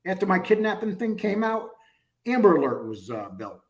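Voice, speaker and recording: A man's voice: sits high, sing-song, moderate pace. A man from New York in casual crosstalk chat, brash and profane, often laughing at himself. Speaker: show guest.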